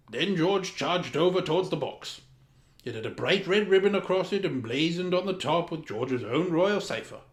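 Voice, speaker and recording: A man narrates a children's story with grand, pompous formality. His voice sits a little high and swings widely in pitch for dramatic emphasis. The recording is roomy, with clear echo around the voice. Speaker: storyteller, host of a story time. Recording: roomy, echoing room sound.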